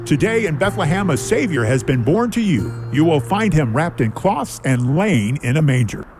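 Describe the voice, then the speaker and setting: A man playing a kindly, gentle giant lumberjack in a children's radio drama. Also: a little high, very animated, normal speed, faint music behind. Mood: reverent. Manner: gentle, reassuring.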